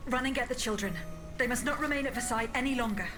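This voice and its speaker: Woman acting a decisive, higher-voiced bodyguard. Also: fast talker, close to an everyday voice. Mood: urgent, decisive. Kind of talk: video game cutscene acting. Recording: music behind the voice.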